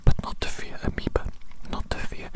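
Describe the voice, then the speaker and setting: A performer voicing an eccentric evil doctor doting on a specimen in a whispered ASMR medical roleplay, breathy and given to repeating phrases. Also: normal speed, close to an everyday voice. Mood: intent, soothing.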